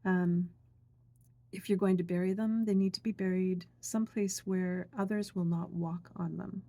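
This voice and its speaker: A middle-aged woman gently explaining a traditional folk craft step by step, unhurried, with many fillers and asides. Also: lowish voice, fairly even intonation. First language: English. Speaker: craft teacher. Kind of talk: calm step-by-step craft demonstration.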